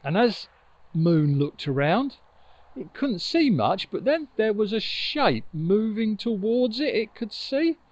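Slow, animated older male storyteller. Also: high voice, dull audio. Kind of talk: conversational oral storytelling. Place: outdoors by a river in open countryside. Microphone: lapel mic with furry windshield.

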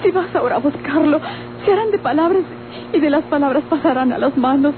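An actress playing a gentle, sorrowful young bride-to-be in an old radio drama, with a Mexican accent. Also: female voice, sits high, brisk, natural voice. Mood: anxious. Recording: tinny, noisy old radio drama recording.

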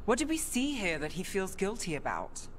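An actress playing a shrewd, inquisitive party leader in a video game, with brisk questioning delivery. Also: female voice, mid-range voice, very animated, a crowd in the background, natural voice. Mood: probing.

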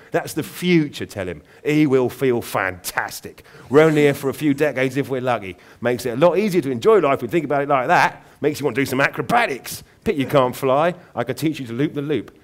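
A man voicing a huge raven with a loud, throaty voice and a London accent, cheerfully morbid. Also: mid-range voice, voice pushed up, natural voice.

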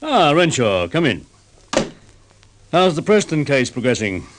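An actor playing a brisk senior police officer questioning his sergeant in an old radio drama. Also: on the high side, sing-song, noisy background. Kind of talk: vintage radio drama acting.

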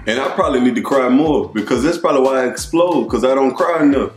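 A young man teasing his partner in casual, animated banter, acting out little quotes, seated in a dim room in front of a camera. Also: mid-range voice, fast, roomy sound, soft background music. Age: young adult. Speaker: co-host of couple channel. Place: dim indoor room on a couch.

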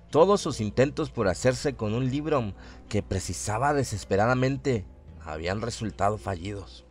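A man narrating a horror story for a radio drama in a measured, literary storytelling manner. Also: lowish voice.

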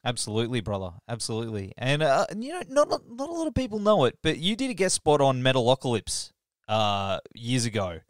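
A man's voice: mid-range voice, wildly swooping pitch, a little fast, dry recording, studio quality. A man hosting a podcast, asking questions in a friendly, laid-back, matey way. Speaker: podcast host. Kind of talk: casual interview.

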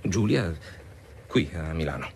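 A courteous young engineer, radio drama, lively intonation, faint background noise. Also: male voice, a bass voice, measured pace, vocal fry, exaggerated voice. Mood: uneasy. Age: young adult. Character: courteous, effusive young male city engineer. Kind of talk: naturalistic radio drama acting.

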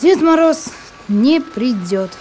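Мальчика с высоким голосом. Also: female voice, sits high, expressive, slow, darkish tone, a little hiss or hum. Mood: concerned. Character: sensible, polite, organised young boy. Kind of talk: animated cartoon voice acting. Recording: music under the voice.

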